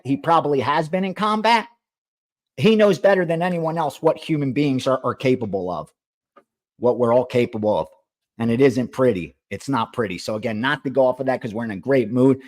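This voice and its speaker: A middle-aged man whose first language was Greek, hosting a sports betting show and giving a heated, emphatic monologue with swearing and repeated phrases. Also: mid-range voice. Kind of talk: impassioned monologue.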